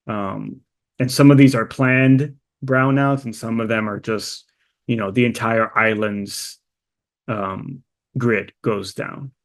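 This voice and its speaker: A man's voice: lowish voice, fairly even intonation, takes it slowly. A man from Miami speaking as a panel guest, often restarting and repeating words as he searches for phrasing. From Miami, United States. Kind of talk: panel discussion answer.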